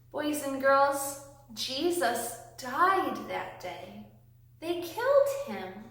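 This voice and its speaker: A woman reading aloud and teaching young children, with simple, deliberate, emphatic phrasing, recorded in a classroom. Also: sits high, fairly bright tone. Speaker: children's Bible storytime host, reading aloud. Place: indoors, a classroom. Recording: very echoey room, distant microphone.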